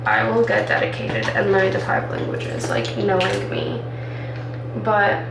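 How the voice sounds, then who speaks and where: A woman's voice: mid-range voice, sing-song, a little slow, vocal fry. A twenty-year-old woman, an architecture student, chatting casually in a rambling way while doing her makeup, filling her talk with "like.". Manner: rambling, filler-heavy with lots of "like". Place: indoors, doing makeup in a room. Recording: echoey room, distant mic, background noise.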